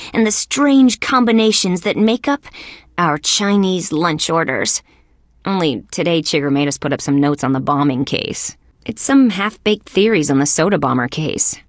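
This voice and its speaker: A woman playing a wry, skeptical young female police detective in a video game, with a deadpan, sardonic delivery. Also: on the low side, no echo, studio quality. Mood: dry, sardonic. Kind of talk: scripted game character lines.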